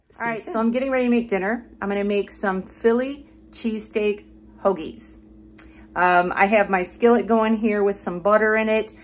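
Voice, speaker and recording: Chatty older woman vlogging cooking. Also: mid-range voice. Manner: chatty, explains each step plainly with fillers. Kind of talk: casual cooking vlog. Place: home kitchen.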